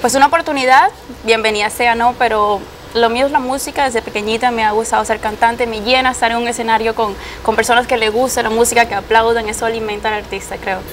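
A woman's voice: a little high, fairly even intonation, fast talker, hardly any pauses, slight background noise. A young woman from Cuba with a neutral Latin American Spanish accent, chatting casually as a guest in a TV studio. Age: young adult. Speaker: singer, interview guest. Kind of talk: casual chat. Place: indoor TV studio.

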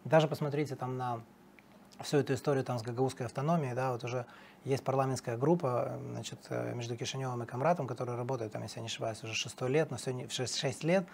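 Middle-aged male analyst, emphatic, rapid delivery, slight rasp, lapel mic in studio. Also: mid-range voice, fairly even intonation, a little mellow, slight background noise. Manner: emphatic, many fillers and restarts. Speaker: political analyst guest. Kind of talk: studio interview.

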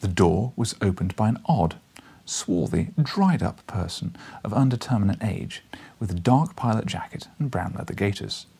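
A man in his thirties narrates a classic story. His voice is fairly low and very husky, with a gravelly creak and expressive phrasing. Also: moderate pace. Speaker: audiobook narrator. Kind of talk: expressive literary reading. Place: small book-lined living room, indoors.

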